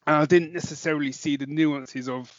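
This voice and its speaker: Higher-voiced man in casual podcast chat, muffled audio. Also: steady tone, fairly quick, no room sound. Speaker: podcast co-host.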